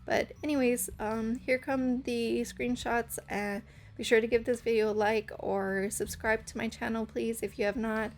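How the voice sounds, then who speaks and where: A young woman with a hoarse, scratchy voice, rambling through a casual gameplay voice-over with lots of fillers. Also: fairly high pitch, flat delivery, moderate pace. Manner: rambling, hesitant, full of fillers. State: hoarse from karaoke. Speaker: channel host.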